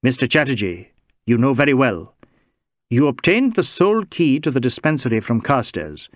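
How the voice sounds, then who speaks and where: A man voicing a stern male police inspector who presses questions sharply and deliberately. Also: medium pitch, big pitch swings, no echo, clean studio sound, lightly acted. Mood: accusing.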